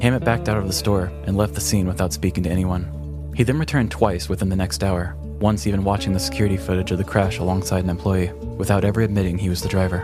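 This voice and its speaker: A man's voice: low-pitched, flat delivery, fast, over music. An American man co-hosting a podcast, speaking in a storytelling and conversational style. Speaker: podcast co-host. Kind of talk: scripted true crime narration and casual banter.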